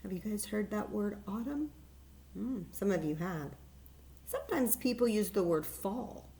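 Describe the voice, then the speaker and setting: Slow-paced woman reading stories to children. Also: mid-range voice, slight background noise. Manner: expressive, coaxing questions to children. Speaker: library story time reader. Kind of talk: children's read-aloud with asides to young listeners.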